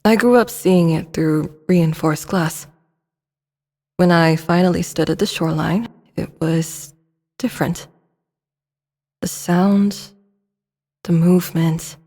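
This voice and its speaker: A guarded female medical officer in a sci-fi audio drama. Her voice sits a little low. She speaks in a calm, measured deadpan and gives nothing away. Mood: quietly reflective. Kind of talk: audio drama acting as interviewee.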